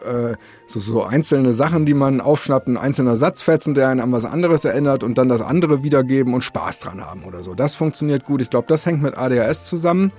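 A man's voice: medium pitch, expressive, fast talker, a little mellow, soft background music. A man hosting a casual podcast, talking in a rambling, self-deprecating way that jumps from thought to thought. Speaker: podcast host.

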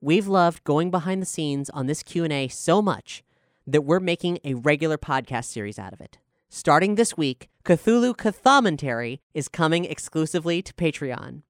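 A man with a fairly high, smooth, clean voice speaks as a podcast host making scripted announcements. The sound is very dry and close, with an easy-to-follow delivery. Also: expressive, moderate pace, no echo. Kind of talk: scripted announcement, promotional talk.

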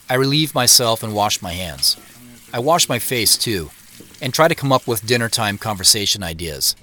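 A man's voice: lowish voice, pushed and bright, a little hiss or hum. An actor playing a wistful, self-conscious man in his late thirties, narrating an audio drama in the first person with wry introspection.

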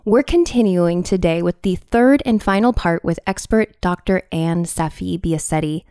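Young woman host, casual and chatty, a touch raspy from a cold, recorded dry and close. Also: on the low side, normal speed, mellow, no echo. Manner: chatty, uses "like" a lot, self-deprecating. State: has a cold, slightly raspy. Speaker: podcast host. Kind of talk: casual podcast hosting.

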